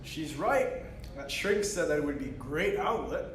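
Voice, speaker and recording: A man's voice: fairly high pitch, animated, moderate pace, natural voice. A man playing a brash, mocking imaginary teenage companion in a script read-through. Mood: goading. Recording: echoey room with clear noise.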